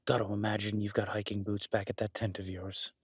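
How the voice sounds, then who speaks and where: A man's voice: lowish voice, flat delivery, fairly quick, breathy edge, no room sound. A man playing a teasing, protective woodland cabin host, speaking intimately in an audio roleplay. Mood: playful, reassuring. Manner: teasing, soft-spoken, intimate.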